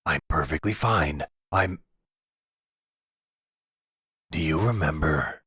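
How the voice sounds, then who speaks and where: A man acts out a delusional, possessive crime boss in middle age for an ASMR roleplay. His voice is extremely deep and heavily husky, recorded close and dry. He speaks slowly, with condescending tenderness that carries menace. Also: a bit bright, no room sound, full character voice. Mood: defensive. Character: possessive, delusional middle-aged male mafia boss and husband.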